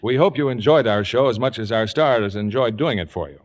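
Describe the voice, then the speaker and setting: Animated, echoey male radio announcer. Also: medium pitch, brisk, a little hiss or hum. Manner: polished, emphatic sales delivery. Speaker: radio show host and announcer. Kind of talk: 1950s radio announcing, scripted ad. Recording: echoey old radio studio stage.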